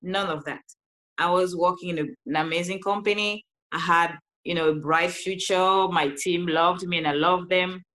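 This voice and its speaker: A woman from Cameroon with a Cameroonian accent, telling her story as an interview guest in an animated way with frequent laughs. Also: lowish voice, normal speed. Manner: animated, laughs often, restarts sentences. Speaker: guest, life coach.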